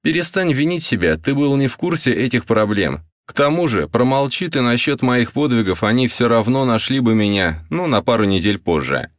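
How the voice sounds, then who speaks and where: Man playing a calm, self-assured intelligence captain, raised pitch. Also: no echo, studio quality, close to an everyday voice. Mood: reassuring. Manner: composed, reasoning, measured. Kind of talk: audiobook character voice.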